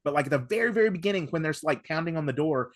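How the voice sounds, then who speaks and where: A man's voice: on the high side, animated, rapid-fire, no echo, studio quality. A man talking casually and analytically as a podcast panellist, working through his thoughts at length. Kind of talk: casual discussion of an audio drama.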